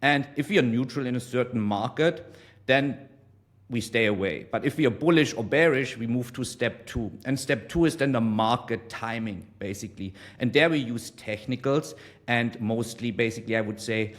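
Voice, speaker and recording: A man of forty from Germany, explaining his trading as an interview guest, measured and full of ums. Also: medium pitch. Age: 40s. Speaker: guest trader. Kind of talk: casual interview.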